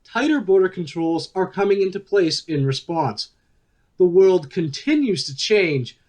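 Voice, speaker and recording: A man's voice: high voice, exaggerated voice. A man playing an upbeat, chatty news talk show host in a radio play, with an emphatic delivery.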